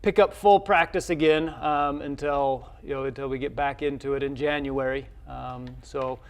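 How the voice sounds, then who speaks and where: A man speaking as a college coach in a casual interview, halting and often repeating his words as he goes. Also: mid-range voice, fairly even intonation.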